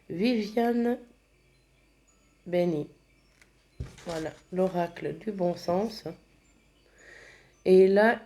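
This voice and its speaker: A woman giving a spiritual oracle card reading, speaking slowly and gently, slightly congested from a cold. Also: fairly low pitch. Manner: slow, gentle, reads card texts aloud.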